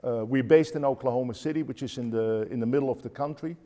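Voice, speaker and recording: A man's voice: medium pitch, fast, dark tone, big-room sound. A man from the Netherlands with a Dutch accent, speaking on a conference panel, hesitant with many fillers and restarts.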